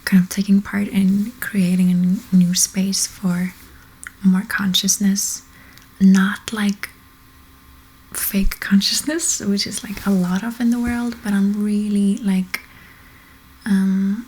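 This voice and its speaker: A woman speaking in a soft, breathy whisper as an ASMR host, gently and hesitantly guiding listeners to relax. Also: medium pitch, flat delivery, takes it slowly, poor audio. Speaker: ASMR host, energy healer.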